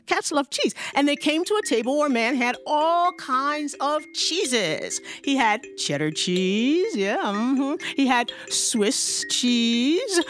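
A woman performs a children's tale in a high voice at a slow pace. She leans on rhythmic repetition and playful emphasis, with faint music present. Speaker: storyteller. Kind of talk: animated oral storytelling for children.

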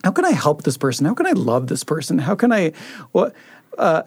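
A man chatting reflectively as a radio panelist, in a thoughtful, earnest and somewhat halting way. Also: fairly high pitch, wildly swooping pitch, a little mellow, slightly rough, no room sound. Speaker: radio show producer, panelist. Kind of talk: casual reflective round-table chat.

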